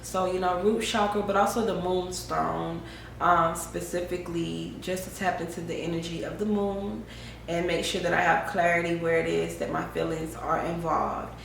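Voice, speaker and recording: A woman's voice: fairly low pitch, flat delivery, normal speed, gravelly. A young adult woman, chatting casually to camera about spiritual routines, slangy and repetitive. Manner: chatty, slangy, repeats phrases, says "you know what I'm saying". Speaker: vlogger. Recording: very echoey room, faint hiss.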